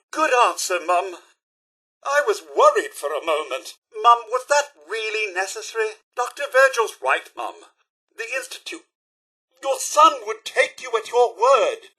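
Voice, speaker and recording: An actor playing a fussy, polite and loyal robot butler in a video game, with a British accent and a prim, theatrical, deferential manner. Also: male voice, high voice, pushed and bright, slightly rough, full character voice. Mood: approving, earnest. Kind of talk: video game companion dialogue.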